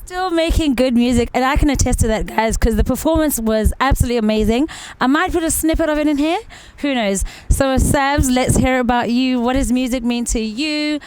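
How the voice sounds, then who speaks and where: A woman's voice: high-pitched, fairly quick, few pauses, a little mellow, slight background noise, low-quality recording. A woman hosting a casual interview with musicians. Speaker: interview host.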